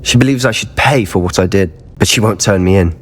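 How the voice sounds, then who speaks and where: An actor playing an earnest, remorseful teenage wizard schoolboy in a dramatic video game. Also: male voice, low-pitched, a little fast, slightly rough. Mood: grieving. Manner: earnest, emotive. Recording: music behind the voice.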